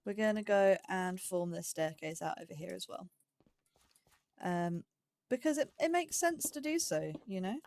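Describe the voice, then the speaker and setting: A woman's voice: medium pitch, animated, normal speed, a little mellow. A woman giving casual let's play commentary, chatting and musing aloud in a self-deprecating way. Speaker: gaming YouTuber, host of a let's play series.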